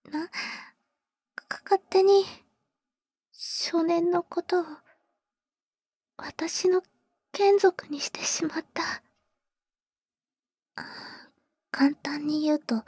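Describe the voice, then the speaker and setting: Woman acting breathy, high-voiced big-sister vampire. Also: a little mellow, no echo. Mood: apologetic, hesitant. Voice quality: breathy, whispered. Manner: halting, murmured, blunt boyish phrasing. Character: protective, tsundere older-sister female vampire, tenderly devoted. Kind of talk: Japanese ASMR roleplay, intimate whispering. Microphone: very close, dry ASMR microphone.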